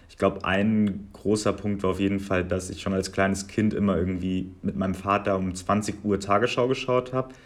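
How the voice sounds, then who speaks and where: A man in his twenties from Germany, answering interview questions in a measured, articulate way with long listing sentences. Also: low voice, steady tone. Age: 20s. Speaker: guest.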